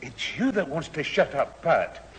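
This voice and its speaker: A man's voice: on the high side, sing-song, measured pace, a little hiss or hum. A man playing a bossy, easily riled old grandfather with a Northern English accent in a radio sitcom. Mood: indignant. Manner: blustering, grumbling. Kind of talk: 1970s radio sitcom acting. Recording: reverberant old radio recording.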